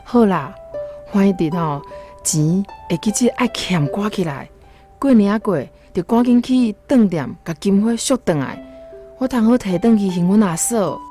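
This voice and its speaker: An actress playing a thrifty, anxious wife in a radio drama, gently nagging and coaxing with a Taiwanese accent. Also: female voice, medium pitch, expressive, normal speed, soft background music, lightly acted. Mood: urging.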